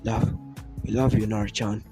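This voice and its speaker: A man voicing a loving mother in a read-aloud fan story, speaking softly and reassuringly. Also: fairly low pitch, flat delivery, fairly quick, music in the background, natural voice. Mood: soothing. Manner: soft, hushing, reassuring. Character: loving mother, soothing and protective.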